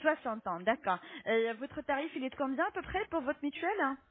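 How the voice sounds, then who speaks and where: A woman making a phone sales pitch as an appointment-booking agent, polite but insistent, often ending on a checking question. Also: very animated, a little fast. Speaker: telemarketing agent booking health insurance appointments. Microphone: telephone. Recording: lo-fi muffled phone call.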